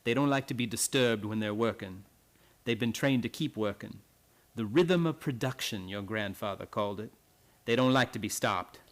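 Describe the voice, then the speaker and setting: An actor playing a grim, blunt old island retainer in a radio play, with rustic, archaic phrasing full of ayes. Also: male voice, on the low side, normal speed, close to an everyday voice. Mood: urgent warning.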